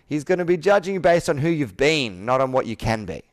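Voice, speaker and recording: A man's voice: fairly high pitch, very animated, brisk. A man in his thirties coaching sales through roleplay in a persuasive, direct manner. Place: indoor room with whiteboard, on a video call.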